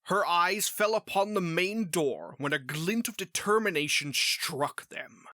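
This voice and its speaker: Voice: mid-range voice, a little slow, pushed and bright, no room sound, clean studio sound. A narrator reading a scripted fantasy story in a storybook style.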